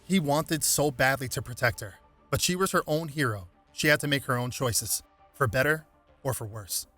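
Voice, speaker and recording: Bright-voiced man narrating over music. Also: medium pitch, moderate pace. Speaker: narrator reading game lore aloud. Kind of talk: dramatic scripted narration.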